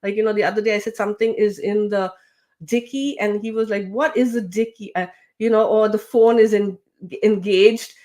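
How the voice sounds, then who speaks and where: A woman speaking as an interview guest, loose and conversational, pausing on fillers and restarting her words. Her voice sits at an ordinary middle pitch. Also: steady tone, darkish tone. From grew up with British English. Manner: chatty, many fillers and restarts. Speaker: guest, LinkedIn trainer and coach. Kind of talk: casual interview talk.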